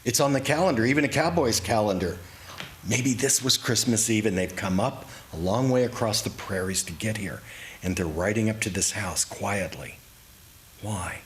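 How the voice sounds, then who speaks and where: A man giving a dramatic, reflective reading of his own memoir story, with deliberate pauses. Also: on the low side. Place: indoors in a historic house, live reading. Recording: clearly noisy live room recording.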